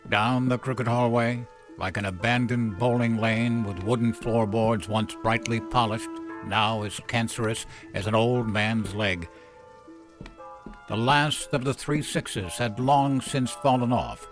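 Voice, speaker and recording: A man narrates an audio drama in a literary, atmospheric style. His voice sits at a medium pitch, telling a noir-tinged story with faint music underneath. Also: unhurried.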